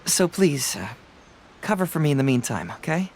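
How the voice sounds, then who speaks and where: A man voicing a young, sharp-witted detective in a game, playful and self-assured with light laughs. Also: fairly high pitch, sing-song, moderate pace, slight background noise. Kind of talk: game voice acting.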